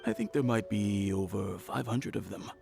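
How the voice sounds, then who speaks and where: An actor playing a polite, wealthy innkeeper in a video game, courteous and earnest. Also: male voice, fairly low pitch, normal speed, vocal fry, darkish tone, faint music behind. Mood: grateful, explaining. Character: polite, wealthy male innkeeper, effusively grateful.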